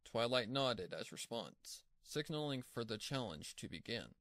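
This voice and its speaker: A man's voice: mid-range voice, big pitch swings, a little slow, a bit bright, no room sound, clean studio sound. A man reading scripted fan-fiction narration as the storyteller.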